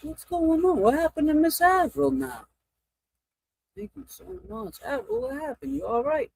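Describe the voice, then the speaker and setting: A man hosting a casual livestream, talking in a rambling, halting way and often breaking off mid-thought. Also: very high voice, animated, creaky, dry recording. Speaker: livestream host.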